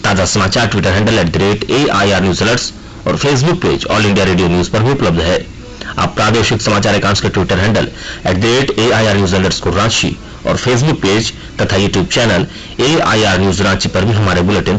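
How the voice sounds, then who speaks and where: A man's voice: lowish voice, moderate pace, a bit bright, a little hiss or hum, low-quality recording. A man reading a formal, scripted regional news bulletin on the radio.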